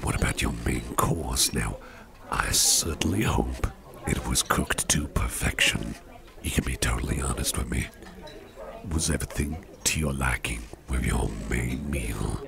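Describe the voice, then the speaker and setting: Extremely deep, gravelly, jovial big boss played by a man. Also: heavily acted. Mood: pleased, genial. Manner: slow, soothing, chuckling, folksy, repeats phrases reassuringly. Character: big, muscular, jovial, hearty-eating boss on a dinner date. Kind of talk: ASMR roleplay, personal attention. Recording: restaurant crowd murmur behind the voice.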